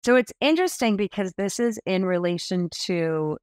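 A woman in her fifties, a stylist being interviewed on a podcast over a video call, telling stories with lively enthusiasm. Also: medium pitch, moderate pace, no room sound, clean studio sound. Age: 50s. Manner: animated, enthusiastic storytelling with expressive hand gestures. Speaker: guest stylist. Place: small indoor room.

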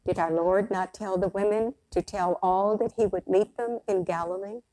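An older woman, a priest, delivers a prepared sermon in measured, deliberate phrases with pauses. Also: medium pitch, fairly even intonation, warm tone, poor audio. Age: older, around 60s. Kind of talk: sermon, read from a prepared text. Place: church sanctuary, at the pulpit.

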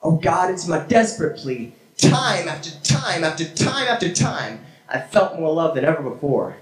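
A young man performing spoken word poetry on a small church stage with a handheld mic, dramatic and swinging from hushed to shouted. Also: on the high side, sing-song, normal speed. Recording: echoey room from a far camera.

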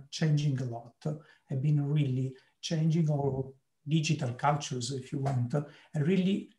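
Sicilian-accented male professor, halting keynote over a video call, mellow voice with a slightly raised pitch, echoey room. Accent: Sicilian Italian. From Italy, Sicily. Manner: unhurried, halting, many fillers. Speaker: keynote speaker, marketing professor. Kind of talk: online lecture on a video call.

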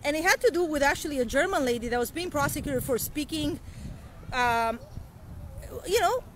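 A woman's voice: sits high, expressive, normal speed. A woman in her forties, a native Romanian speaker, talking earnestly into a phone held at arm's length as she walks outdoors, rambling with many restarts. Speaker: vlogger. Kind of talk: casual livestream talk. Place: outdoors by a street, walking. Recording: loud street noise.

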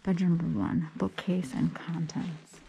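Low, unhurried woman vlogger gushing over finds. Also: gravelly, muffled recording, noisy background. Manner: chatty, gushing exclamations, some whispered reading. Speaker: vintage paper reseller. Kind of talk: casual walk-through vlog. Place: rooms of an old house at an auction, indoors. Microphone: body-worn action camera.

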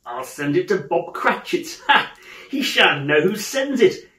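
A man voicing a reformed old miser as a hearty, excitable and emphatic old gentleman in a storybook reading. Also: high voice, measured pace, reverberant, exaggerated voice. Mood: gleeful, excited. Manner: exclamatory, emphatic, theatrical.

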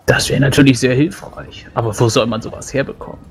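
A man with a mid-pitched voice speaks in character during a tabletop fantasy game, explaining as he goes. The recording has faint noise behind him. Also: fairly even intonation, quick pace. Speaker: tabletop role-player.

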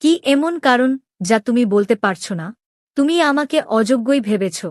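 A woman voicing a proud, lovestruck young princess in an animated story, questioning with emotion. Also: fairly high pitch, normal speed, no echo, studio quality, close to an everyday voice. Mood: hurt, demanding. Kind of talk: dramatic Bengali animated story dialogue.

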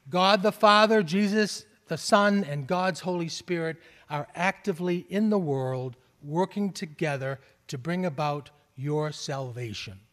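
Boston-accented pastor preaching in a reverberant church. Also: male voice, high-pitched. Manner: earnest preaching with rising calls and soft drops. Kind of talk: sermon. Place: large church sanctuary. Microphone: stand mic at pulpit, far camera.

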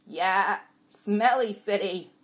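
A woman's voice: mid-range voice, slow pace, pitched above the performer's own voice, natural voice. A woman voicing a dim, bickering male pack donkey with a blunt manner in an audiobook story. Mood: stubborn bickering. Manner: blunt, stubbornly echoing complaints. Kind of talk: audiobook character voice.

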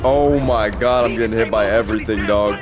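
A young man giving profane, excitable live commentary while playing a game. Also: lowish voice, brisk, over music. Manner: profane, excitable, reacting aloud. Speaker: gaming streamer. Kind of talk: casual gameplay commentary.

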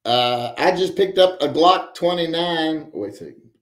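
A man's voice: fairly high pitch, a little slow. Wry, rambling man in an echoey room. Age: 40s. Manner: rambling, wry joking asides. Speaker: podcast host reading viewer chat. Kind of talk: casual live-stream chat. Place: home room with guns on the wall.

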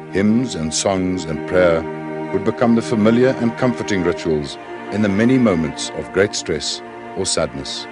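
A man giving solemn, measured scripted documentary narration. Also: low-pitched. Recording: narration over music.

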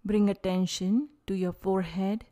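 A woman leading a guided yoga nidra meditation, speaking calmly and soothingly in short phrases with long pauses. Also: mid-range voice.

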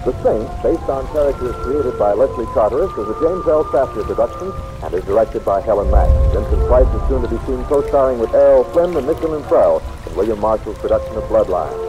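A man's voice: mid-range voice, animated, a little fast, hardly any pauses, rough recording. An American man announcing on a 1950s radio broadcast in a polished, formal, promotional manner. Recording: vintage radio broadcast recording. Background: music, rain ambience.

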